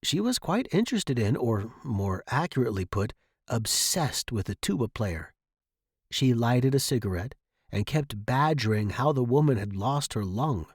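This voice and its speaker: Male narrator, scripted first-person storytelling, medium pitch, close dry recording. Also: animated, moderate pace, husky, dry recording. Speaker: audiobook narrator.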